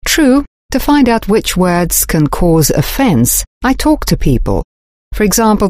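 Actress as an older journalist: low, lively intonation, measured and explanatory, dry studio read. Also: female voice, no room sound. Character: older woman journalist, calm polyglot. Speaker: interviewee. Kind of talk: scripted textbook dialogue.

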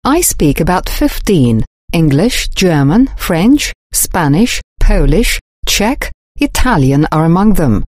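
A woman playing an older female journalist, a polyglot, answering questions in a measured, explanatory way in a scripted textbook dialogue. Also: on the low side, sing-song, darkish tone, no echo, clean studio sound, heavily acted. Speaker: interviewee.

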